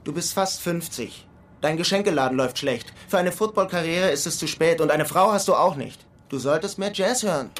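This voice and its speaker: A man's voice: on the high side, brisk, close to an everyday voice. An actor playing a wry, streetwise German immigrant in Queens, narrating a crime radio drama in a laconic, dry-humored way. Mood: needling.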